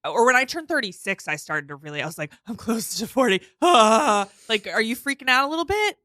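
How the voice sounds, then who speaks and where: A woman in her mid-thirties talks openly as a podcast guest in a studio. Her voice is bright and carries, and the sound is close and dry. She talks freely, dotting her thoughts with "like" and "um". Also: fairly high pitch, big pitch swings, brisk, no room sound. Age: 30s. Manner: candid and chatty, with frequent "like" and "um". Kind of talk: casual chat.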